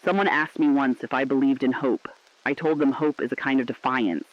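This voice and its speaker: A man's voice: mid-range voice, fairly quick, no echo, lightly acted. Guy playing mournful last radio host at world's end, poetic and earnest, dry slightly dull sound. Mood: tender, defiant. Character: mournful, defiant last radio broadcaster bearing witness. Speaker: radio broadcaster. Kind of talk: scripted dramatic radio narration.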